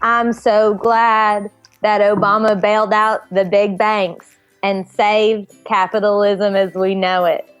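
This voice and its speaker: A woman's voice: medium pitch, little pitch movement, slow, clean tone, highs cut off, soft background music, natural voice. A woman playing a contented wife and mother in a comedic table read. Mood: blissfully content.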